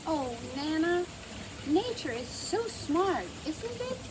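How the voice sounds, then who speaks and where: An eager little farm girl from a children's storybook, put on by a woman reader. She is high-pitched and affectionate, lilting up and down with wonder. Noise is clear in the recording. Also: big pitch swings, slow pace, heavily acted. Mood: curious, wondering.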